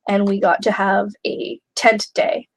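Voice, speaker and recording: A young woman hosting a live question-and-answer chat, talking candidly with many pauses and fillers, a little snuffly from a flu. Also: mid-range voice, little pitch movement, no room sound, low-quality recording. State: snuffly, getting over a flu. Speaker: host of a live question stream.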